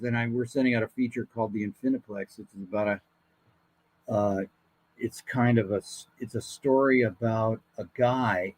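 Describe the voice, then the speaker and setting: A man talking casually as a guest, pitching show ideas in a rambling, playful way. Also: poor audio. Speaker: guest, voice actor and writer.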